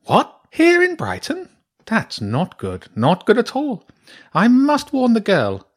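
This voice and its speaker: An eccentric badger priestess from a storybook, played by a man, pitched far up and swinging wildly in tone. She is haughty and theatrical, given to grumbling and exclaiming. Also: wildly swooping pitch, takes it slowly, heavily acted. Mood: indignant, wary. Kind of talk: character voice in a children's audiobook.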